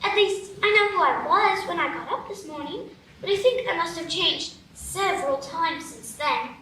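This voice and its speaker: Woman doing a bright, high little girl, proper and eager, echoey stage. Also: moderate pace, full character voice. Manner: earnest, excitable, prim. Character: polite curious young girl. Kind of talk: live radio play acting. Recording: echoey stage, distant mic, room noise.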